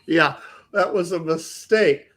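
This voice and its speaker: A man's voice: fairly high pitch, slow pace, a bit bright. A man hosting a live-stream chat show, talking in a hesitant, self-deprecating way with frequent chuckles. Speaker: podcast host.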